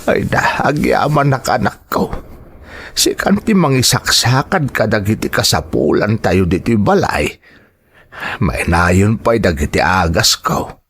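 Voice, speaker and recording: Husky, slow old Filipino father. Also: fairly low pitch, very animated, fairly bright tone, no echo, exaggerated voice. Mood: grateful, weary. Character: ailing, gentle old father, stubbornly reassuring. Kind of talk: audio drama acting.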